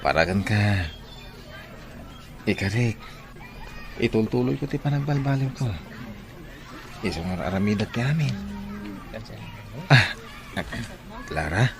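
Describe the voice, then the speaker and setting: Low-voiced, earnest young Filipino suitor. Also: male voice, background noise. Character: earnest young male suitor courting his sweetheart. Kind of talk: Filipino radio drama acting.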